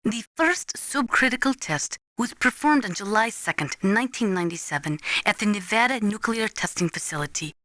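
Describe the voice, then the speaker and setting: Game casting: actress as earnest female weapons expert advising a soldier, crisp forward tone, measured lecturing delivery, very dry studio sound. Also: medium pitch, expressive, a bit bright, dry recording, close to an everyday voice. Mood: measured, informative. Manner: measured, precise, didactic. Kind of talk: scripted expository video game voice acting.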